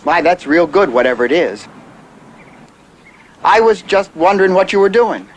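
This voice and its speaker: High, nervously cheerful folksy townsman. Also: animated, normal speed. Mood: anxious, placating. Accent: American. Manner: folksy, placating, over-eager. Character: nervous, fawning small-town man, forcing cheerfulness. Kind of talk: vintage television drama acting. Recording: noisy old television soundtrack.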